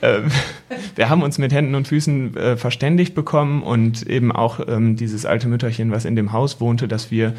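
A man's voice: mid-range voice, steady tone, normal speed, a little mellow. A young man telling travel stories casually as a podcast guest, thoughtful and often searching for words.

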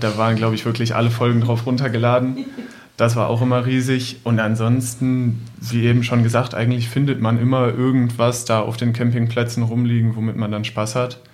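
Young man with an even, flat delivery. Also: medium pitch. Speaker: podcast guest. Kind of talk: casual chat, reminiscing.

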